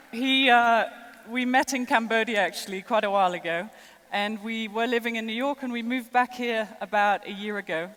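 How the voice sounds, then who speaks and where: A woman's voice: fairly high pitch, a bit bright. Woman keynote speaker, thirties, French-tinged international accent, conversational and self-mocking, long hall reverb. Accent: mixed international, French and American traces. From born in the United States, raised in six countries. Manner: chatty and self-deprecating, with light laughs and fillers. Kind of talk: conference keynote speech. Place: large conference hall.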